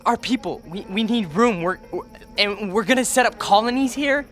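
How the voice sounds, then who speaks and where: An actor playing a desperate young alien man posing as human, speaking urgently and haltingly in a radio drama. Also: high voice, fairly bright tone, soft background music. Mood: grave, revealing.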